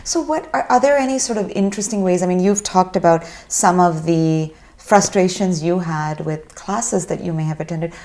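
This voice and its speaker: A woman's voice: fairly low pitch, moderate pace, few pauses, darkish tone. A woman hosting a television panel discussion, asking thoughtful questions that hedge and restart with many fillers. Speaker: TV talk show host.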